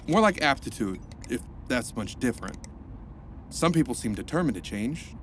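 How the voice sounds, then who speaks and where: An actor playing a cheerful, jokey male rehab counselor in an audio drama, with a playful, lively delivery. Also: medium pitch, normal speed, a little hiss or hum, natural voice.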